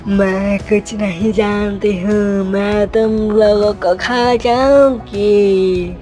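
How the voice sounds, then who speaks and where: A woman plays a vengeful shadow spirit from a cartoon tale, a female phantom thirsting for blood. She draws out her threats very deliberately, full of menace, over faint background music. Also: mid-range voice, steady tone, dark tone. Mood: menacing. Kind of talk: dramatic cartoon story acting.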